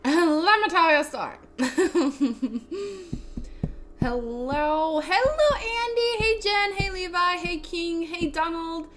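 A woman with a raspy voice hosting a casual cooking livestream, bubbly and laughing as she greets viewers one by one. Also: very high-pitched, lively intonation, takes it slowly, a bit bright. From Texas. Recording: faint music behind the voice. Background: faint background music.

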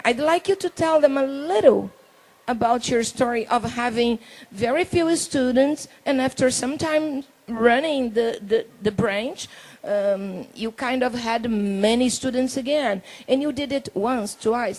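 A middle-aged Brazilian woman, hosting a talk show in English with a handheld mic, halting and reading from notes. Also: on the high side, a little mellow, low-quality recording. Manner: halting, reads from notes, laughs often. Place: indoor talk show stage.

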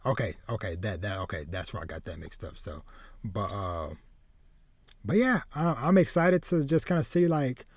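Halting man chatting on a dry close mic. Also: lowish voice, animated, no room sound. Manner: halting, repeats words as he goes. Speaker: podcast host. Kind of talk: casual chat about anime. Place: small room with bookshelves, indoors, on a video call.

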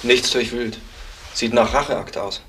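An actor playing a keen, terse junior detective in a German crime radio play. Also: male voice, mid-range voice, measured pace, fairly bright tone, a little hiss or hum, close to an everyday voice, rough recording. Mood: alert, terse.

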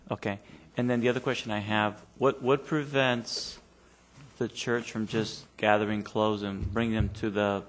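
A man's voice: fairly low pitch, level tone, moderate pace. A man on a city council arguing his point in a meeting, hesitant and plainspoken, often repeating words, in a council chamber.

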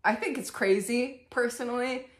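A woman's voice: a little high, normal speed. A young woman hosting a dramatic storybook reading, with casual, irreverent asides, recorded in a bedroom. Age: young adult. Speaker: storyteller and video host. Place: indoors, small bedroom. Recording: echoey room.

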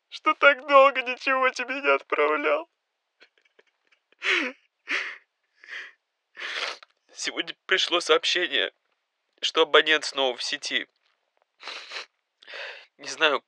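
A man's voice: fairly high pitch, sharp tone, dry recording, heavily acted. An actor playing an anxious, pleading adult son, insistent and intimate, in a scripted voice-message monologue to his mother. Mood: grieving, reflective. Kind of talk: scripted audio film acting, voice-message monologue.

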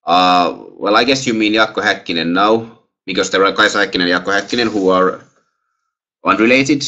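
A young man with a Finnish accent lectures over a video call through a headset. His voice is bright and forward. He pauses a lot, fills gaps with "uh" and "well", and restarts sentences. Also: fairly low pitch. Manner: hesitant, many fillers and restarts. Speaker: guest lecturer. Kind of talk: webinar Q&A answers.

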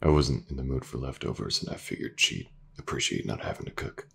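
A man playing a gentle, reassuring detective in an intimate audio roleplay, speaking softly and hesitantly, often near a whisper. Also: rumbling low, expressive, fairly quick, vocal fry, breathy edge, full character voice. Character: gentle, reassuring male detective with an injured eye.

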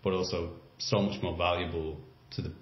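Deep voiced podcast host guy, talks in long run on points, echoey muffled room. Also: steady tone, moderate pace. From Britain or Ireland. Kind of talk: podcast interview. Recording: roomy, slightly dull remote audio.

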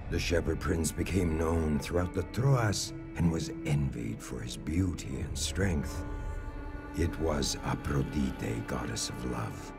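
An actor as the grave storyteller of an ancient Greek legend in a video game, narrating in a solemn, measured way. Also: male voice, very deep voice, gravelly, heavily acted. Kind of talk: scripted epic narration.

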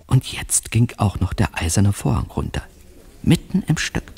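A wry reporter narrating a radio play, low creaky voice, confiding and flippant. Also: male voice, very animated, moderate pace, a little mellow, heavily acted. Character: wry, chatty newspaper court reporter narrating his adventure.

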